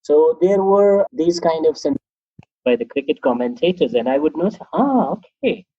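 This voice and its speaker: A man's voice: a little high, normal speed, husky, no echo, lo-fi sound. A man from Pakistan with a Pakistani accent, an English teacher talking about his life as a language learner. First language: Pashto. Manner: animated, reflective, often laughs at himself. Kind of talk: conversational interview.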